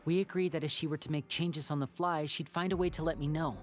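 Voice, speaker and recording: An actor playing a composed, watchful young man in a video game, speaking politely and deliberately, with music behind. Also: on the high side, fairly even intonation, natural voice. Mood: watchful, analytical. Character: composed, courteous, watchful young man, protective older brother.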